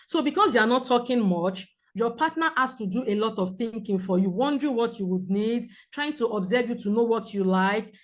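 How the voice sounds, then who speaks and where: A woman counsellor with a loud voice, teaching a group in a clear, measured way with examples. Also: mid-range voice. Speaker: premarital counsellor. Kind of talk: teaching a seminar talk.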